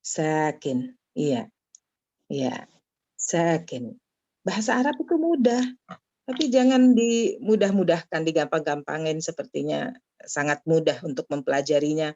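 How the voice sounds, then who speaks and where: Deep-voiced female teacher giving firm, patient reading corrections over a muffled video call. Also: animated, mellow, no echo. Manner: corrective, drills pronunciation, repeats words slowly. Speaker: Arabic teacher. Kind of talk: online class feedback.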